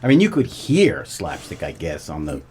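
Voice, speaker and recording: An older man hosting a talk radio show with a playful, hammy announcing manner and a husky voice. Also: rumbling low, wildly swooping pitch, moderate pace, darkish tone, slight background noise. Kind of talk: talk radio hosting and live sponsor reads.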